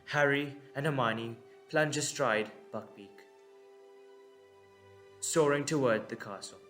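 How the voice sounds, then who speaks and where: A man narrating a dramatic story in short, measured, suspenseful phrases. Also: mid-range voice, fairly bright tone.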